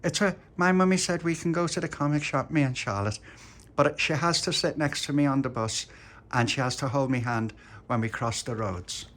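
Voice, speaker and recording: A man doing a small, obedient girl in a high, careful voice. She worries about what her mummy will allow. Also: normal speed, fairly bright tone, close to an everyday voice. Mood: anxious. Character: timid, well-behaved little girl.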